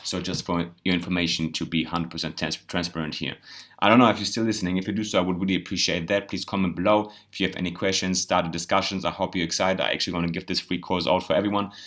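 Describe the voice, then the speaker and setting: Deep-voiced man with a German accent. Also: steady tone, fast talker, a bit bright. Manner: rambling, earnest, repeats words and says "you know". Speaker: crypto vlogger. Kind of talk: casual monologue to camera.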